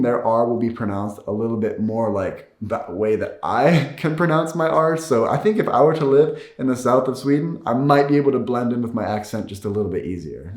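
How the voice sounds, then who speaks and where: A man's voice: medium pitch, darkish tone. A man, a native English speaker, talks casually and explains things to viewers, repeating key words for emphasis. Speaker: video creator and host. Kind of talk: casual explanatory talk to camera. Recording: echoey room.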